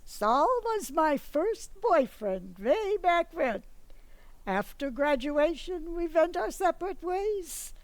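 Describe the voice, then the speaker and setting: A woman playing a blunt, flighty older mother in an amateur radio play, with a dramatic, drawn-out delivery. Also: very high voice, very animated, dry recording, exaggerated voice. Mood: girlish, smitten.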